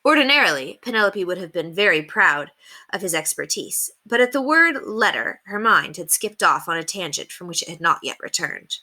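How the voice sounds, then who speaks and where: Animated, bright-voiced woman reading a story. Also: lowish voice, normal speed. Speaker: read-aloud storyteller at an elementary school. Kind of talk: expressive scripted narration of a children's novel.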